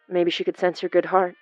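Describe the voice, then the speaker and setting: An actress playing a warm, earnest young woman in an audio drama, gentle and reflective, with sighs. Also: on the low side, no room sound, highs cut off, lightly acted. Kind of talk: naturalistic audio drama acting.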